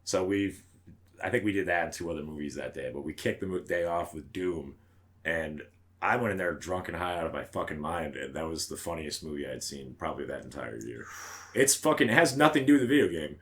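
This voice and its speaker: Male podcast host, low-pitched, casual and foul-mouthed, punches his opinions with emphatic slang. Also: lively intonation, brisk, fairly bright tone. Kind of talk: casual chat about movies.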